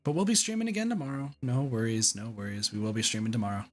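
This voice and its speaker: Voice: medium pitch, fast, slight background noise. A game streamer commenting casually and informally, often repeating their own phrases.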